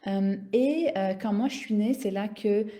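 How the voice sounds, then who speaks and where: A woman's voice: mid-range voice, unhurried, warm tone. A woman, telling personal stories in a casual, unscripted way, often hesitating and repeating small words as she searches for the next one. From raised in the Middle East (Saudi Arabia, Dubai) in a Syrian-Canadian family. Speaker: health coach and business owner hosting a live stream. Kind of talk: spontaneous casual personal storytelling.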